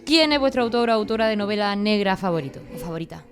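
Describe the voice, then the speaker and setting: A woman's voice: medium pitch, animated, fast talker, faint music behind. A woman co-hosting a podcast, asking questions in casual chat. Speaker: podcast co-host. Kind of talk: casual quick-fire questioning.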